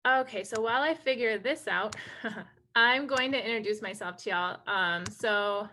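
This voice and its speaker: A woman's voice: medium pitch, unhurried, pushed and bright, slight background noise. A woman from North Dakota, a native Hidatsa speaker, giving an informal online presentation in an upbeat, enthusiastic way as a program director. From North Dakota, USA.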